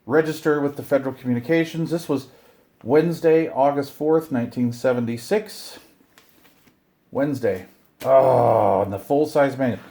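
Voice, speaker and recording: A middle-aged or older man chatting casually to viewers while unboxing, excited and rambling, often talking to himself. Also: mid-range voice, normal speed. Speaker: hobbyist video host. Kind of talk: casual unboxing chat to camera.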